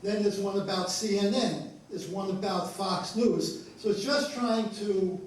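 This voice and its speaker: A male playwright informally explaining his work at a talkback, halting and repeating phrases. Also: sits high. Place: indoor stage at an arts venue. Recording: echoey room, distant mic, faint noise.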